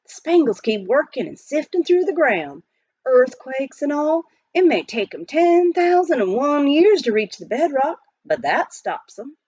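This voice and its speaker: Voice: high voice, sing-song, measured pace, darkish tone, no echo, lo-fi sound, voice pushed up. A woman voicing a folksy, good-natured male gold miner who drawls and teases in a storybook. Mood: musing. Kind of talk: children's storybook character voice.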